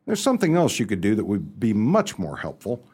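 Radio comedy lead: male actor as breezy young upper-class gent, easily rattled, swooping pitch, slightly husky. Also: wildly swooping pitch, a little fast, darkish tone, exaggerated voice. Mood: uneasy. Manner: breezy, slangy, exclamatory. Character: excitable, rattled young upper-class English gentleman narrator. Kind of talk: first-person radio comedy narration and dialogue.

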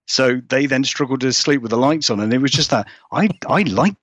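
A man's voice: mid-range voice, brisk, fairly bright tone, no echo, highs cut off. A man chatting informally as an interview guest, in a rambling, self-deprecating way. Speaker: horror writer, interview guest. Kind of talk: casual interview chat.

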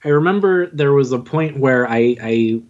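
A man being interviewed as a podcast guest, chatting casually in a halting, self-deprecating way. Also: medium pitch, lively intonation, a little mellow. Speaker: podcast guest, audio drama writer and narrator. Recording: dull, lo-fi audio.

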